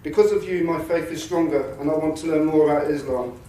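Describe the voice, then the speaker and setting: A man reading a victim statement in a measured, defiant, bitterly sarcastic manner. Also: a little high, dark tone. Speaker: attack survivor giving a victim impact statement. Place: courtroom. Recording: very echoey courtroom from a distance, faint noise.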